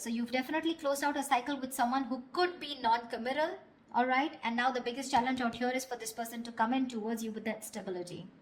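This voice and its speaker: A woman with a fairly high voice works as a tarot reader, talking straight to the listener. Her delivery is casual and conversational, with a blunt, unfiltered manner that allows for some swearing. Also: a little hiss or hum. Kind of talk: casual spoken tarot reading.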